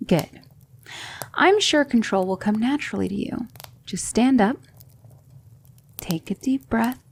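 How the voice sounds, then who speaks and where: A woman playing a gentle, patient demon mentor in an ASMR roleplay, speaking softly and often in a whisper, soothing and reassuring. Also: fairly high pitch, animated, unhurried, gravelly, slightly rough, heavily acted. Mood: soothing, instructive.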